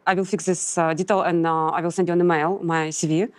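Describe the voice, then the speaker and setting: A woman's voice: on the low side, little pitch movement, fast talker. A woman in her thirties with a Ukrainian accent, giving hesitant interview answers. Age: 30s. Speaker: job applicant.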